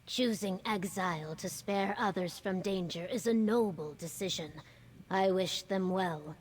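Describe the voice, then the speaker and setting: An actress playing a dignified, commanding female governor in a video game, speaking in formal, measured phrases. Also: fairly low pitch, slight background noise, natural voice, poor audio. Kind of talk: video game character dialogue.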